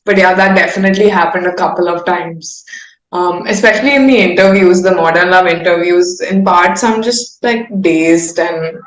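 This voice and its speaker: A woman's voice: lowish voice, normal speed. A woman with an Indian accent chats casually and candidly as an interviewed singer, rambling in an informal, self-aware way. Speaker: singer-songwriter being interviewed. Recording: roomy echo.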